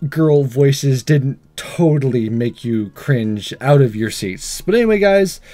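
A man's voice: mid-range voice, animated, slow pace, mellow, soft background music. A man hosting a gaming video, reading a visual novel aloud and chatting casually to viewers. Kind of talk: narrated let's play, scripted reading and casual sign-off.

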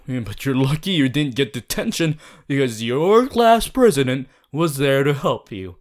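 Casting: male actor as earnest male student class president, fussy, caring, mid-pitched, for an ASMR roleplay addressed to the listener. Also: sing-song, moderate pace, close to an everyday voice. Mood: gently nagging. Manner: hesitant, repeating himself, gently bossy.

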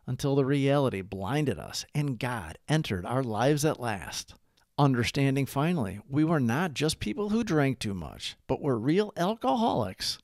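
A man narrating a scripted daily recovery reflection as a devotional read-aloud, with measured, emphatic phrasing. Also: medium pitch, no echo, studio quality.

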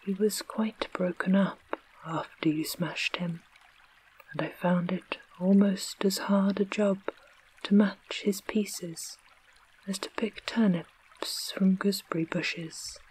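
Storybook gnome craftsman, proud of his work, read by the narrator; very slow whisper. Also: medium pitch, fairly even intonation, a little mellow, husky, poor audio. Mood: apologetic. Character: proud gnome workman who mends things.

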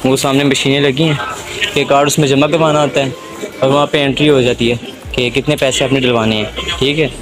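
A young man walks and talks straight to his viewers, chatty and excited, his voice sitting at an ordinary middle pitch. He holds a phone at arm's length outdoors in a busy plaza, with music playing clearly behind him. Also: brisk, rough recording. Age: young adult. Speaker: travel vlogger. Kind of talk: casual walking tour vlog. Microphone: handheld phone at arm's length.

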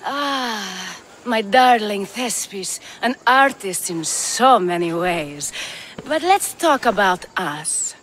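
A teasing courtesan. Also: female voice, mid-range voice, lively intonation, fairly bright tone, slight background noise. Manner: flirtatious, dry, unhurried. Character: worldly, teasing female courtesan.

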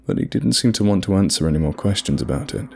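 A man narrating a horror story in a measured, scripted storytelling delivery. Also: low voice, lively intonation, creaky, a little mellow, slightly rough.

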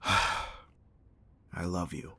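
Man acting a low husky bodyguard in love. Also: extremely slow, exaggerated voice. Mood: pained, tender. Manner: soft, intimate, sighing, teasing. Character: gruff but tender young male bodyguard in love. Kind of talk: ASMR roleplay acting.

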